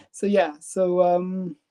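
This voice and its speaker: A Canadian woman with a Canadian accent hosting a live stream, talking in a rambling, halting way with lots of fillers and restarts. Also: fairly low pitch, dark tone. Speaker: comic collector host. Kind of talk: casual live stream show-and-tell.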